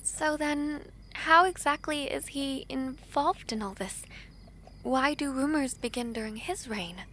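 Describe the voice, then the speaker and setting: Girl acting as a sweet gracious fantasy princess, high voice, wistful n courtly, bit of cricket noise behind. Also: measured pace, pushed and bright. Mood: curious. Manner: formal, courtly, tender phrasing. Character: gentle, affectionate foreign princess, gracious and wistful. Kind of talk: romantic fantasy audio roleplay acting.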